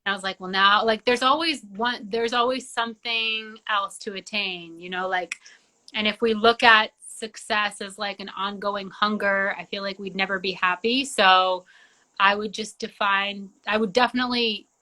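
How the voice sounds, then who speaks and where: A young woman from Georgia, whose first language is Georgian, talks as a guest in a relaxed interview. Her voice is bright and carries a middling pitch. She rambles in a chatty way, full of "like". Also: normal speed, poor audio. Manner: chatty, many "like" fillers. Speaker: singer-songwriter guest. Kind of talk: casual interview chat.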